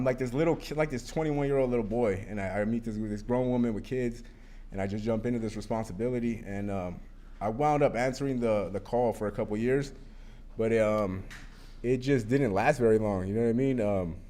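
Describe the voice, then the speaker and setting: A man's voice: fairly low pitch, fairly quick, dark tone. A man in his thirties telling his recovery story at a support meeting, candid and rambling with lots of fillers and cursing. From Arizona. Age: 30s. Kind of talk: personal recovery testimony.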